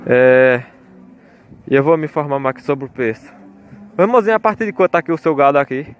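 A man's voice: a little high, expressive, fast, highs cut off. A man walks through outdoor cattle pens, filming on his phone and chatting to his viewers, repeating his call-outs to them. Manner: repetitive, chatty, keeps calling out to his audience. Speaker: vlogger touring a cattle fair. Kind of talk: casual walkaround commentary to viewers. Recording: outdoor market with crowd chatter.

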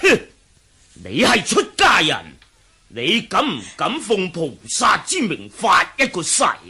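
An actor playing a stern, irascible Taoist priest in a radio drama, husky and accusing. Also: male voice, high-pitched, huge pitch swings, slow, exaggerated voice. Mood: shocked, angry. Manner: accusing, forceful. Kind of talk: Cantonese radio drama acting.

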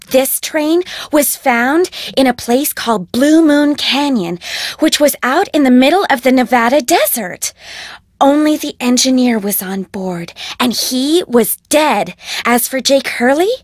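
High-pitched gushing rich girl. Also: dry recording, clean studio sound. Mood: breezy, dramatic. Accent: American. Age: young adult. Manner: gushing, breezy, self-important. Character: gushing, spoiled rich blonde socialite. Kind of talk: video game dialogue.

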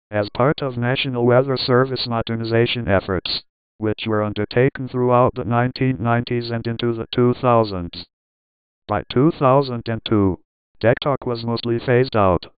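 A man's voice: medium pitch, slight background noise. A synthetic male text-to-speech voice with a robotic sound, reading scripted narration. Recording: dull lo-fi synthesizer audio.

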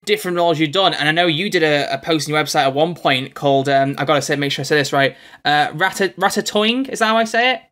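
A man's voice: a little high, fast, hardly any pauses, fairly bright tone. A young man hosting an interview in a casual, chatty style. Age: young adult. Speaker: interview host. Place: bedroom studio on a video call.

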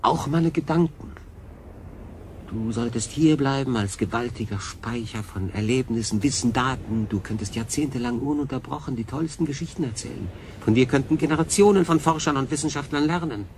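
An actor playing a wry, weary stranded sailor in a German sci-fi radio play, with a terse, dramatic delivery. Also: male voice, mid-range voice, a little fast, a little mellow, slight background noise, natural voice. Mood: intense.

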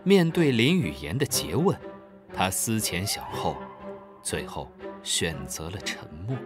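A narrator telling a children's audio drama in an expressive storytelling manner. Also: deep voice, a little slow, over music.

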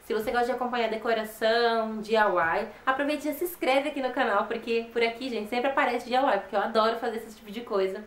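Uma mulher brasileira na faixa dos vinte anos, com sotaque brasileiro, apresentando de forma falante e simpática um canal de artesanato, filmada de perto numa sala pequena. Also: female voice, fairly high pitch. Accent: Brazilian Portuguese. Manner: chatty, friendly, often ends with "tá?". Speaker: DIY channel host. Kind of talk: upbeat on-camera intro and outro. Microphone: fixed camera at close range. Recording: echoey small room.

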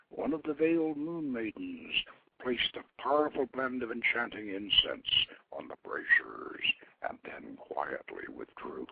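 An older man reading a tale aloud in a solemn, theatrical, measured way, as a host telling a story. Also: fairly low pitch, vocal fry. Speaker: show host reading his own novelette. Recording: phone-like radio line with background noise.

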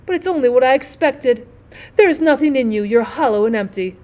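Pompous male storybook rocket, played by a woman: high, haughty, self-important, easily affronted, declaiming grandly. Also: animated, a little fast, darkish tone. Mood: melodramatic.

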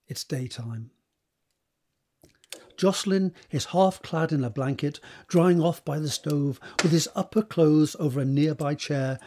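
A man's voice: a little high, a little mellow. A man narrating scene directions for a period romance audio drama in a scripted present-tense style.

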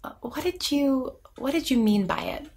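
A woman's voice: high-pitched, expressive, normal speed, darkish tone. A woman playing a nervous young therapy patient in an audio drama, rambling and hesitant in a naturalistic style. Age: young adult. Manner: hesitant, stammering, self-conscious rambling.

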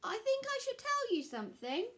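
A woman's voice: very high-pitched, big pitch swings, moderate pace, fairly bright tone, slight background noise, voice pushed up, heavily acted. A woman voicing an anxious, easily flustered square block character in a storybook, hesitant and lamenting. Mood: unsure.

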